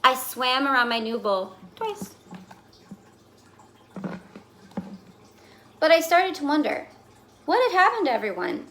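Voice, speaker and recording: A woman reading a children's picture book aloud as a fussy, put-upon goldfish telling its diary, with dramatic, expressive delivery. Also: high-pitched, moderate pace, slight background noise. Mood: wistful, worried. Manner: dramatic, exasperated, expressive storytelling.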